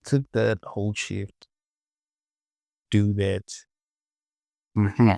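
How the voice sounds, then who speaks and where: Slow, creaky-voiced man muttering through a tutorial. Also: on the low side, flat delivery, darkish tone, no echo, studio quality. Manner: muttering to himself, repeating short phrases. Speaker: tutorial host. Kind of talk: casual screen-recorded software tutorial narration.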